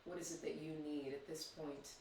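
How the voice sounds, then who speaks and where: A man leading a guided yoga meditation, speaking slowly and soothingly with long pauses. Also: a little high, little pitch movement, darkish tone, slight background noise. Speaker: yoga teacher. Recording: very echoey, distant mic.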